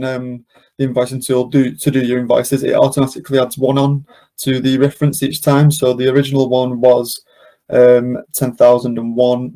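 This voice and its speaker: A man presenting an informal software walkthrough, explaining casually step by step. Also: mid-range voice. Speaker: software demonstrator, webinar presenter. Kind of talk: informal screen-share walkthrough.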